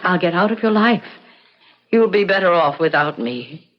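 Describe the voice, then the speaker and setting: A woman's voice: lowish voice, animated, normal speed, muffled recording. A woman playing a self-sacrificing, weary old grandmother in old-time radio drama, loving and resigned.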